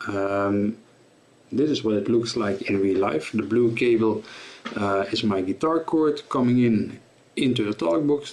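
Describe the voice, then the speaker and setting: A man giving an informal tutorial explanation as a presenter, in a halting, self-correcting way. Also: on the low side, darkish tone. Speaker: presenter of guitar gear tutorial.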